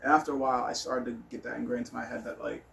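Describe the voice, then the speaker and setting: A man's voice: medium pitch, flat delivery, very fast, vocal fry, a bit bright. A man telling personal stories in a casual, rambling monologue, blunt and candid, with some swearing. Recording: noticeable background noise.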